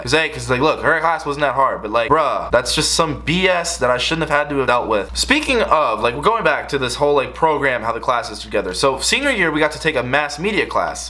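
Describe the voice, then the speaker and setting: A young American man telling a casual storytime rant in an exasperated, slangy way. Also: fairly high pitch. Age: young adult. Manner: exasperated, animated, slangy delivery. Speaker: video creator telling stories.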